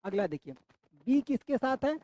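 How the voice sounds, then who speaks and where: A man's voice: very high-pitched, sing-song, normal speed, warm tone. A man with a sore throat teaches an online exam-prep class, repeating each question back to his students.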